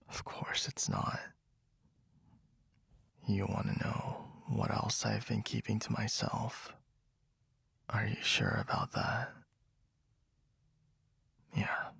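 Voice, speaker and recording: A man's voice: very animated, slow, long pauses, a bit bright, no echo, heavily acted. A man playing a whispering, jealous best friend. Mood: seductive, teasing.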